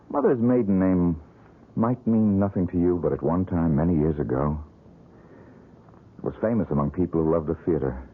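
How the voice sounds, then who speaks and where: A gloomy broke painter, real deep voice, bitter and self-mocking, tinny old radio sound w/ faint music. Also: male voice, a little hiss or hum. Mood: brooding. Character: brooding bitter poor male painter haunted by nightmare. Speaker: struggling painter. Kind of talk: 1970s radio drama acting.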